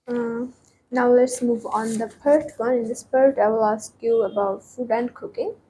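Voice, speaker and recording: A woman's voice: fairly high pitch, fairly even intonation, measured pace, warm tone, slight background noise, low-quality recording. A woman from Bangladesh, asking formal scripted exam questions as the examiner.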